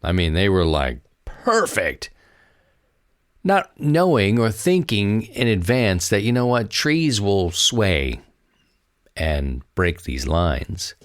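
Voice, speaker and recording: A man's voice: lowish voice, sing-song, takes it slowly, no echo, studio quality. A man with an American accent hosting a podcast, chatting casually and rambling in a folksy way to his listeners. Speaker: podcast host. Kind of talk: casual solo chat to listeners.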